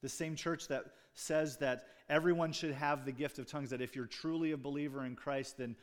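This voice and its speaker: A man's voice: on the high side, steady tone, a little fast. A man preaching a sermon as pastor, talking conversationally with asides and jokes to his congregation. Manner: restarts and fillers, speaking off the cuff.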